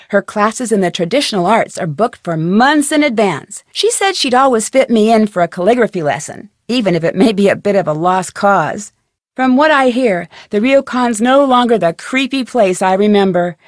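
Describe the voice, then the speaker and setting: A woman's voice: fairly high pitch, dry recording, close to an everyday voice. Curious young detective heroine voiced by a woman, dry close recording. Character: young female amateur detective, curious, upbeat, sensible. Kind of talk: video game voice acting, scripted lines and letter narration.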